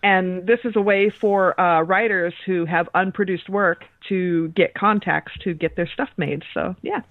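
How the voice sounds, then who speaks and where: A woman's voice: fairly low pitch, fairly even intonation, unhurried, no echo. A woman co-hosting a casual podcast chat, heard over a tinny call connection. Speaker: podcast co-host. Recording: tinny phone-like call audio.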